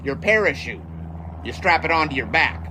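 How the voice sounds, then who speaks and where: An actor playing a confident, folksy 1920s American test pilot in an audio drama, jaunty and boastful, with old-fashioned wordy phrasing. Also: male voice, a little high, animated, moderate pace, background noise, natural voice. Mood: jaunty, teasing. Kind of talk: audio drama dialogue and first-person storytelling.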